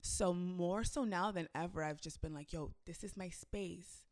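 A young woman who DJs, chatting casually and telling a story with lively shifts, acting out what people said. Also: fairly low pitch, unhurried. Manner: animated storytelling, acts out quotes. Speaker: club DJ. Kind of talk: casual conversation.

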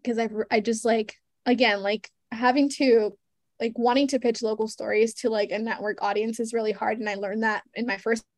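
A young woman in her twenties, a guest journalist chatting casually in an interview, full of "like" and "you know" and quick laughs. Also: fairly high pitch, flat delivery, no room sound, clean studio sound.

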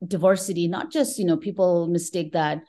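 A woman's voice: fairly low pitch, steady tone, moderate pace, warm tone. A middle-aged woman from Nepal speaking reflectively as a guest in a conversational interview, often checking in with short tag questions. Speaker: podcast guest, tech professional.

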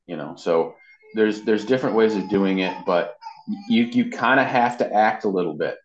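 Deep-voiced man in a muffled, echoey room. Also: moderate pace, slight background noise. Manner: informal, explanatory, often checks in with "you know". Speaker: interview guest, marketing and web consultant. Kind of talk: conversational interview advice. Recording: muffled lo-fi sound, roomy echo.

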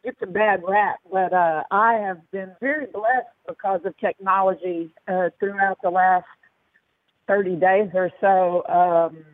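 Gravel-voiced woman hosting over the phone. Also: mid-range voice, steady tone, a little slow, dry recording. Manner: chatty, hesitant with fillers. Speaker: radio show host. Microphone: phone line. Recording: tinny dry phone line.

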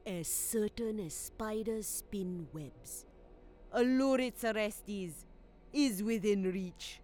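A woman playing a zealous, scornful cult apostle in a war audio drama, measured, imperious and challenging. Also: mid-range voice, a little mellow, faint music behind, close to an everyday voice. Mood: zealous, certain. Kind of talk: scripted audio drama acting.

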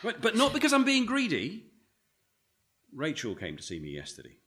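A middle-aged author and father in a radio play. He speaks with dry, sardonic wit. His voice is somewhat high, swoops about a great deal, and sounds bright. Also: wildly swooping pitch, normal speed, full character voice. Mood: gentle, rueful.

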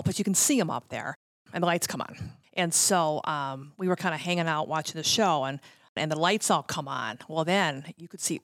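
A middle-aged American woman reminiscing casually in a chatty, halting way that often trails off. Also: low-pitched, no room sound, clean studio sound. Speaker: guest recounting concert backstage stories.

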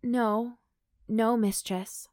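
A woman's voice: medium pitch, fairly even intonation, slow pace, mellow, no echo, clean studio sound, natural voice. A woman reading aloud as a submissive woman, meek and deferential with a touch of sass. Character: submissive adult woman, meek yet sassy.

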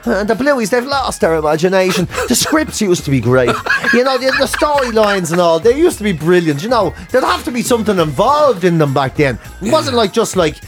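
An Irish man in middle age chats casually and comically. His voice sits notably high and jumps around with lively melody. He stammers through his stories and slips into little acted-out bits as a practised host. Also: fairly quick, few pauses, music in the background. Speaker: podcast and radio host.

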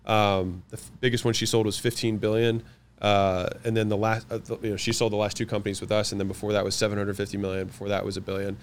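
A man whose first language is French, answering interview questions about running companies, confident and quick, listing his points one after another. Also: lowish voice, flat delivery, slight background noise. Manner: fast, confident, lists points in quick runs. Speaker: guest, business owner.

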